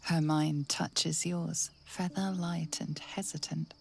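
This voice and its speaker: A woman playing the storyteller in a fantasy video game, narrating in a hushed, evocative and deliberate way. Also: low voice, steady tone, creaky, full character voice. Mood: hushed, intimate. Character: hushed, intimate female storyteller narrating inner visions.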